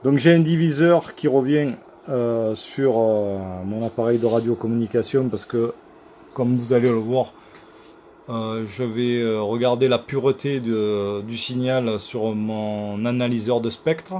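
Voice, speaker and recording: A man giving an informal equipment demonstration as a repair technician, speaking haltingly with many hesitation sounds. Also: medium pitch, fairly even intonation, warm tone, slight background noise. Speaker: radio repair technician presenting his work. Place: electronics workshop.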